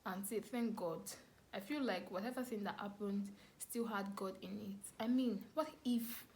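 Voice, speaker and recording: A woman's voice: medium pitch, a little slow, darkish tone, slight background noise. A young woman with a Nigerian accent telling a personal story casually and expressively to camera, repeating phrases for emphasis. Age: young adult. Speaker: vlogger, storyteller.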